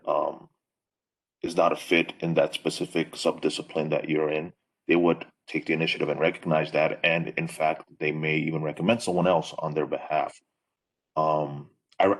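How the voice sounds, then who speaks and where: A man who is not a native English speaker, giving measured advice on a panel with many fillers over a video call. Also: extremely low pitch, vocal fry. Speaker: faculty panelist.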